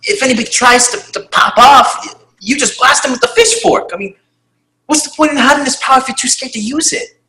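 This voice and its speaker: Woman doing a brash teen girl, bright, sharp, roomy echo. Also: high-pitched, a little fast. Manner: brash, impatient.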